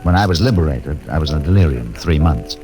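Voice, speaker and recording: A somber scientist who keeps everyone at arm's length, in a very low voice that still swings widely in pitch. The words come measured and formal, as on an old radio broadcast with music playing behind. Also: male voice, lively intonation. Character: grave refugee scientist, man, cold and detached. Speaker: ship's doctor. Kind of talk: 1950s radio drama acting.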